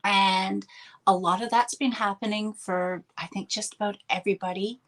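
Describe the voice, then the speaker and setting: A woman's voice: medium pitch, steady tone, normal speed, fairly bright tone. Upbeat Canadian woman, chatty guest. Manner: chatty, upbeat, laughs often. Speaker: podcast guest, healer and mentor. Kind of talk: casual interview chat.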